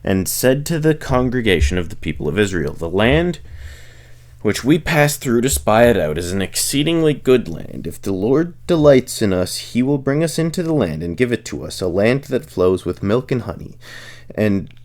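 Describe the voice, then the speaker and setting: A man reading scripture aloud as expressive narration, delivering the speeches in a solemn, declamatory way. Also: medium pitch, moderate pace. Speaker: reader of a Bible audiobook series.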